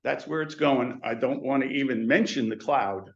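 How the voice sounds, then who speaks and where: A man's voice: medium pitch, moderate pace, vocal fry, dull audio. An older man presenting on theater intercoms in a webinar, talking in loose runs with many restarts and fillers. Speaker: intercom industry educator.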